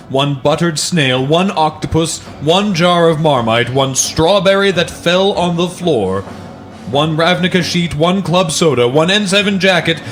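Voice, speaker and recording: A man's voice: fairly high pitch, a bit bright. A man hosting, reading children's books aloud and chatting casually about them. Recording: music behind the voice. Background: background music.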